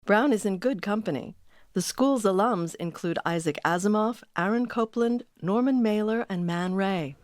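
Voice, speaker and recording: A woman's voice: medium pitch, normal speed, darkish tone, dry recording. A woman reading scripted documentary narration as the narrator, close on a studio microphone.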